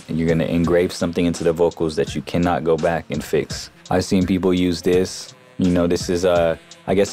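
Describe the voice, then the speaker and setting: A man giving a casual, informal software tutorial as a voice-over on a close mic. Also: deep voice. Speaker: music production tutorial host.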